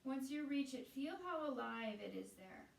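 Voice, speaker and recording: A woman guiding a meditation as a teacher, speaking in a soothing, unhurried way with pauses between phrases. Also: high-pitched, animated, gravelly. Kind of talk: guided meditation. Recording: roomy echo, distant from the mic.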